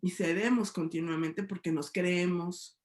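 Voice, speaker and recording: A woman's voice: on the low side, steady tone, unhurried, darkish tone. Mexican woman professor, echoey room. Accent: Mexican Spanish. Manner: thoughtful, many hedges and tag questions. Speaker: sociology professor, guest. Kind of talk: reflective debate talk.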